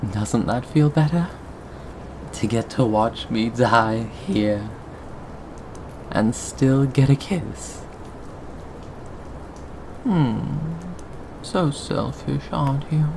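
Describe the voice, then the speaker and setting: A man acting an obsessive, sadistically tender lover in a scripted ASMR roleplay, taunting with breathy chuckles. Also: mid-range voice, drawn-out words, long pauses, a little mellow, slight background noise, poor audio. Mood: wounded, tenderly taunting.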